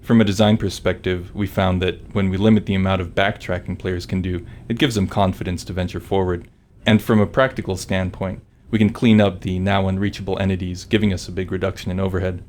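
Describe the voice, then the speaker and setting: A man's voice: low voice, level tone, fairly quick, darkish tone, a little hiss or hum. A man who develops games, delivering prepared commentary about design decisions. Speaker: game developer.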